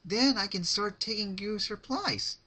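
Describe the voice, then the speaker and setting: A man's voice: high voice, a little slow, sharp tone, breathy edge, pitched above the performer's own voice, heavily acted. A man voicing a cheerful but sadistic young female pony in a sing-song, mock-sweet way for a dramatic fan-fiction reading. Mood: cheerfully cruel.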